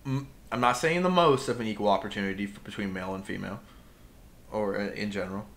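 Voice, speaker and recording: A man's voice: fairly low pitch, quick pace, creaky, fairly bright tone. A young man co-hosting a casual podcast, ranting with passion and bursting into emphatic outbursts. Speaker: podcast co-host.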